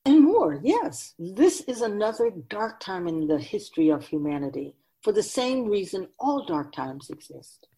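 A woman's voice: medium pitch, sing-song, unhurried, few pauses, mellow. A woman playing a wise otherworldly keeper of souls in a radio drama, teaching humanity in an emphatic, oracular manner with rhetorical questions. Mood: solemn, instructive. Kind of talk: radio drama dialogue, lecturing.

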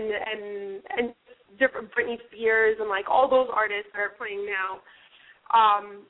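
A young woman from New Jersey, a guest in a casual interview, rambling with many restarts and fillers. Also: medium pitch. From New Jersey, USA. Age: young adult. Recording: tinny phone-like radio line.

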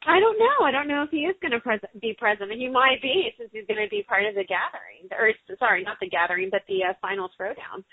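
A woman's voice: on the high side, lively intonation, fast, hardly any pauses, slightly rough, dry recording. A woman co-hosting a casual radio show, upbeat and supportive. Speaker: co-host. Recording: tinny phone-line sound.

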